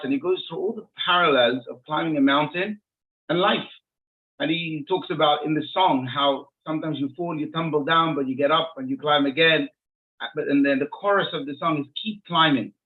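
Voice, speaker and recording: Male interview guest, rabbi from Israel, lightly high register, conversational storyteller who rephrases as he goes; narrow phone-like audio. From Israel, born and raised in Jerusalem.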